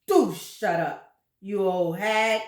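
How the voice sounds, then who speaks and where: A woman's voice: mid-range voice, slow pace, a little mellow, roomy sound, far from the mic, natural voice. A woman reading a storybook, voicing a grumpy, spiteful husband who snaps rudely at his wife. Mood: irritated. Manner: rude, snapping.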